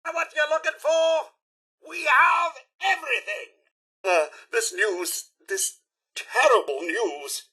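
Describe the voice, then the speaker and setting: A fussy, courteous British robot butler from a video game, with a bright voice that swoops up and down at a high pitch. The diction is prim, florid and formal, that of an eager servant who is easily dismayed. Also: male voice, husky, exaggerated voice. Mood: exuberant hawking, then anguished. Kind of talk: theatrical video game character acting.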